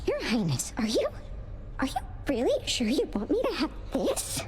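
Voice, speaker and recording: A woman's voice: sits high, huge pitch swings, normal speed, darkish tone, faint music behind. A woman voicing a timid, flustered servant creature in a video game, hesitant, deferential and eager to please. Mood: flustered, grateful. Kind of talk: video game character acting.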